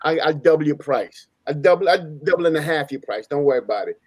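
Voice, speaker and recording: Fast-talking, higher-pitched man swapping street stories. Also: animated, darkish tone, dry recording. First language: Spanish. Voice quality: slangy, informal street talk. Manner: emphatic, repeats phrases, acts out quoted dialogue. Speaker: panelist on a live open panel. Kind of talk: casual animated storytelling.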